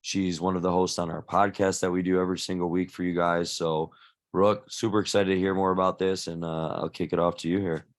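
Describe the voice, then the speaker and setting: Male coach host, very low steady voice, loose rambling intro. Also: brisk. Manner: rambling, many restarts and fillers. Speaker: fitness coach hosting a workshop. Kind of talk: casual live introduction. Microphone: video call.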